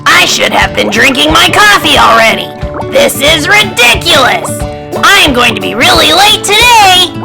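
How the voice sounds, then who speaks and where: A woman voicing a grumpy, easily exasperated male goose from a storybook, exclaiming with drawn-out, emphatic words. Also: high-pitched, very animated, creaky, music in the background, voice pushed up, heavily acted. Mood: loud complaining.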